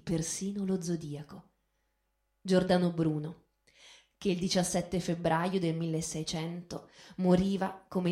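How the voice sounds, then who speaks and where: Low-voiced woman reading poetically, with echo. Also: mellow. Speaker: radio show host and reader. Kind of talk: expressive literary reading over guitar. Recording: echoey voice with guitar music. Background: acoustic guitar music.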